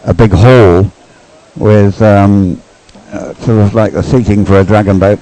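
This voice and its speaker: Deep-voiced man rambling through live sports commentary. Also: fairly even intonation, a little slow, warm tone, poor audio. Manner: rambling, hesitant, thinking aloud. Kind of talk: live dragon boat race commentary, unscripted.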